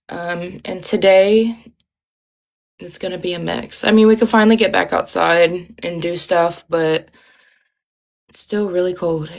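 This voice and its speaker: A woman's voice: lowish voice, vocal fry. A young woman with a Midwestern Ohio accent, chatting casually and rambling as she hosts a livestream, with lots of ums and likes. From Ohio, USA. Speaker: livestream host.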